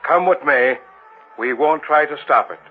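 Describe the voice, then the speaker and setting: An actor in an old-time radio horror drama playing an intense, commanding leader of an occult ritual, solemn and incantatory. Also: male voice, fairly high pitch, measured pace. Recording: tinny old radio with loud music.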